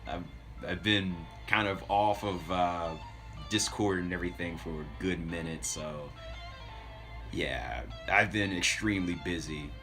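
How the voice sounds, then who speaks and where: A man's voice: low voice, unhurried, bright, forceful tone. A man chatting casually as a livestream host, rambling as he tells stories and talks to his viewers. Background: background music.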